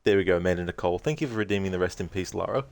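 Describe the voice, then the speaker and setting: Deep, gravelly man streaming games. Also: very fast, faint music behind. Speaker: game streamer. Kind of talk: casual livestream chat.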